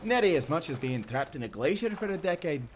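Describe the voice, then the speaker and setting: A man's voice: fairly high pitch, sing-song, fairly quick, slight background noise. A man playing a hearty, friendly timberman turned sailor who talks in folksy, encouraging old-time seafaring speech in a nautical audio drama. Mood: warm, reflective. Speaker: sailor guiding a newcomer.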